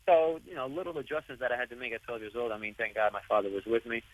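A young man, a soccer player whose first language is Italian, answering interview questions softly while searching for his words. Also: mid-range voice, steady tone, rapid-fire. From United States. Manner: soft-spoken, searching for words. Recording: tinny dry phone line.

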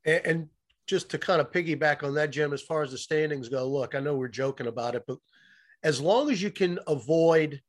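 A man's voice: fairly high pitch, brisk. A man who works as a sports announcer, giving emphatic, opinionated conversational commentary on a podcast. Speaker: radio play-by-play announcer, podcast guest.

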